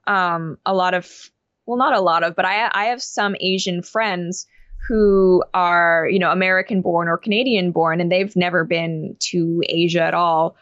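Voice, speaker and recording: A woman's voice: lowish voice, steady tone, measured pace, fairly bright tone, no room sound, muffled recording. A young woman as an interview guest, talking in a thoughtful, casual way with many fillers and restarts.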